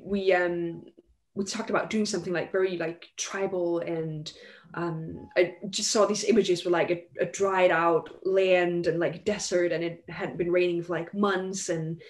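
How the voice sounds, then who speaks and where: A woman's voice: on the low side, steady tone, measured pace. A young woman from Denmark, speaking English as a later language, chatting on a video call with lots of fillers. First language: Danish. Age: young adult. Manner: chatty, many fillers and likes. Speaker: guest singer. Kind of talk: casual interview chat. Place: home room indoors. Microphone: headset on video call.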